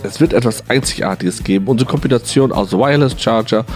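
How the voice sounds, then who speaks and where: A man's voice: mid-range voice, quick pace, darkish tone. A man reading a scripted voice-over translation of an interview. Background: music.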